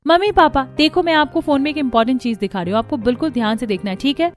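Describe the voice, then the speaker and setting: Woman voicing a chirpy, high-pitched sly young sister. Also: quick pace, natural voice. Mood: eager, sly. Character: young college-going sister-in-law, sly, chirpy, eager schemer.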